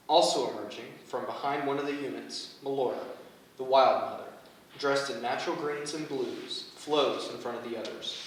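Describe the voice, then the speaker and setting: A man narrates a play script, reading out settings and stage directions. He is recorded at a distance in a very reverberant room, with faint noise behind him. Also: mid-range voice.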